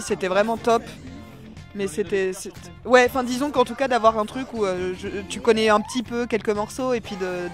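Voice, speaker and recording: High-voiced man, halting festival chat. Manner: hesitant, many fillers and restarts. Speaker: band songwriter. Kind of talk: casual festival interview. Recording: music playing behind.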